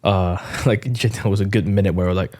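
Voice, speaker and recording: A man's voice: low voice, steady tone, a little fast, a little mellow. A man in his early twenties chatting casually as a podcast co-host, giggly and chatty as he tells stories.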